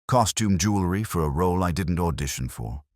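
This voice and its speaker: A man's voice: low voice, animated, fairly quick, darkish tone, dry recording, studio quality, voice pushed up. A man voicing a composed, wistful storybook woman in a polite, reflective, understated way. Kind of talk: storybook character dialogue.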